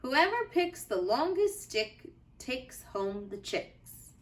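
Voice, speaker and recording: A woman voicing a kindly female cat schoolteacher in a storybook, giving clear instructions. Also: high-pitched, sing-song, slow pace, recorded from a distance, voice pushed up, full character voice. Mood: instructive.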